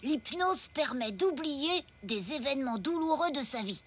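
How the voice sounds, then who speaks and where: A woman voicing a sensible, patient schoolboy sidekick in a French animated comedy, matter-of-fact and a little hesitant. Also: high-pitched, measured pace, loud music behind the voice. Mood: coaxing, earnest. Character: sensible, patient schoolboy best friend, mildly exasperated.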